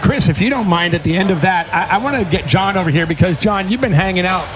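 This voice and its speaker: High-pitched chatty man hosting live. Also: quick pace. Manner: chatty, rambling, repeats phrases. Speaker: podcast host. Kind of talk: casual unscripted live chat. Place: busy car-themed restaurant, live on location.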